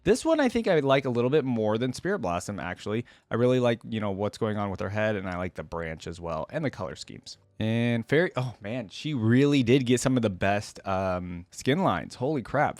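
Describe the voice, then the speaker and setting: A man's voice: medium pitch, lively intonation, moderate pace, hardly any pauses, darkish tone. Man, mid-range voice, enthusiastic, casual reaction commentary, dry sound with no room echo. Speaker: video game fan reacting on his channel.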